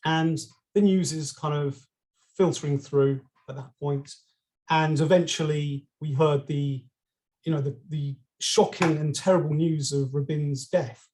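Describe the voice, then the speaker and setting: A middle-aged man with a London accent speaks as a guest on a conversational podcast. His voice sits a little high for a man, and he tells his story in a hesitant, unpolished way, full of ums and restarted phrases. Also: fairly even intonation, unhurried, darkish tone. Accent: British, London. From England.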